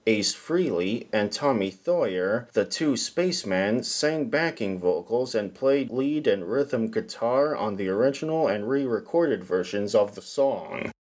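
Male host, scripted narration, slow and deliberate delivery, medium pitch. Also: a little mellow. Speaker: podcast host.

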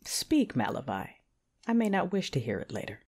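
A woman acting a proud, passionate dark lady in an audio drama, narrating in the first person with intense, deliberate delivery. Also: lowish voice, sing-song. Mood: coolly commanding. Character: proud dark Sith lady, commanding, passionate, wounded by betrayal. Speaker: narrator and lead character. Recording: music under the voice. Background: music.

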